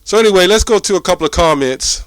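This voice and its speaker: A man's voice: sits high, animated, quick pace, clean tone, no echo. A man giving informal religious teaching and scripture commentary in a colloquial way, often checking in with short rhetorical questions.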